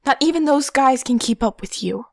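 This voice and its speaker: A woman's voice: high voice, animated, moderate pace, vocal fry, no room sound, clean studio sound. A woman playing an earnest young boy who presses his friend, in an audio drama. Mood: encouraging.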